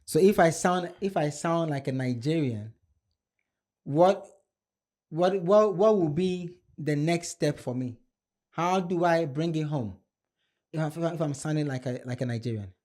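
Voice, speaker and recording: A man's voice: fairly high pitch, lively intonation, unhurried, darkish tone. A man hosting a casual studio panel talk, hyped and pressing his guests with questions. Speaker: podcast host. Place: studio with woven cloth backdrop. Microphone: studio mic on a stand.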